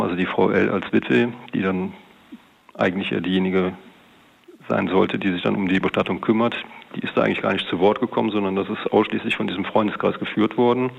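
A man's voice: fairly low pitch, creaky. A man, a police detective, recounting a case in an interview with formal, official wording and long winding sentences. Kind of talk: interview account with hesitations. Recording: dull, band-limited interview sound.

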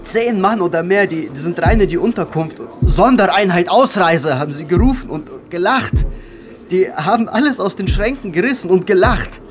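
A man's voice: high voice, lively intonation, normal speed. An actor in a radio drama playing a frightened young refugee man who stammers and repeats his words as he pleads for shelter. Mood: agitated, urgent. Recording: clear music behind the voice.

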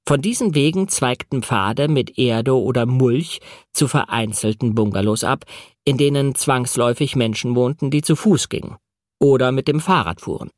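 A man narrates literary prose for an audiobook in a close, dry recording. His phrasing is measured and deliberate, with pauses that set words apart, at a middling pitch. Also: no echo.

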